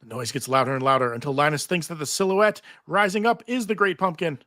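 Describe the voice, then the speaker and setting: Male narrator for a live table read, slightly high, forceful bright voice, dry sound. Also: fast, dry recording. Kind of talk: reading stage directions at live table read.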